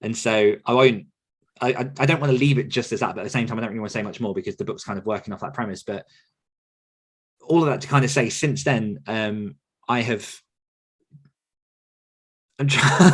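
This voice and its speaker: Male podcaster and coach, lower register, reflective, earnest, conversational delivery that circles back on itself. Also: animated, brisk, fairly bright tone, breathy edge. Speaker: author coach and podcaster answering questions. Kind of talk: conversational question-and-answer talk.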